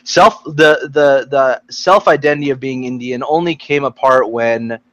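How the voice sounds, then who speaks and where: A young American man from Texas in a casual group discussion, thinking aloud in a halting way. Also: medium pitch, fairly bright tone. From Texas, United States. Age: young adult. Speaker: youth panel participant. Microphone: computer microphone on an online call.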